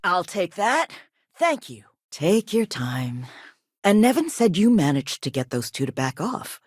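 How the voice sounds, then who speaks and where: A woman acting as a poised, quietly assertive company chief executive in a video game, polished, measured and courteous but firm. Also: lowish voice, sing-song, husky, no echo, clean studio sound, full character voice. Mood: composed, businesslike.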